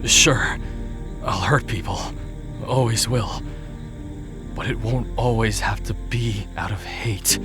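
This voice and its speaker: A man playing a tormented, remorseful fighter in love in an audio drama, pleading in a halting, dramatic way. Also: on the low side. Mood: anguished devotion. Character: Cain, tormented remorseful male fighter in love, self-loathing. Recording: music behind the voice.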